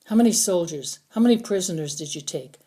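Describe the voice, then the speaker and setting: A woman's voice: lowish voice, animated, fairly quick, dark tone, slightly rough. Woman reading as a firm commanding male soldier.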